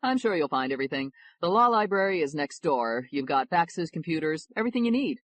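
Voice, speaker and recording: A woman's voice: deep voice, sing-song, brisk, no room sound. A woman voicing a brash, generous male defense lawyer who talks emphatically and blusters. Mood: emphatic.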